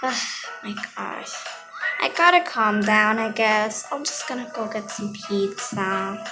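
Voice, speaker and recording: A young female gamer improvising an anxious, dramatic roleplay over gameplay, with loud music behind the voice. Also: mid-range voice, slow, low-quality recording. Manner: dramatic, anxious play-acting. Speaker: gaming video creator.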